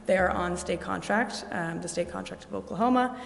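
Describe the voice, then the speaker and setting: A woman's voice: medium pitch, a little fast, creaky. A woman presenting a security system to a city council, explaining as she goes with many "um" fillers. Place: council chamber. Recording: echoey chamber with long reverb.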